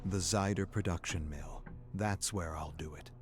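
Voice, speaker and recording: A man reading a scripted story as a dramatic narrator, grave and deliberate. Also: low voice. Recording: music playing behind the narration. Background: background music.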